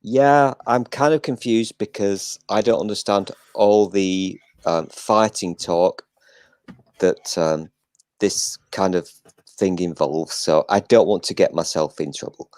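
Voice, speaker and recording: An older man hosting a casual live chat, talking in a flat, self-deprecating way and swearing now and then. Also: deep voice, takes it slowly, dry recording.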